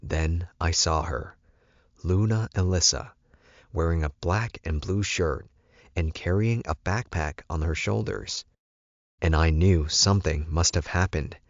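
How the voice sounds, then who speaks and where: Male audiobook narrator, very low pitch, scripted storytelling, dry sound, slightly muffled. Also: no echo. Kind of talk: scripted first-person fiction narration.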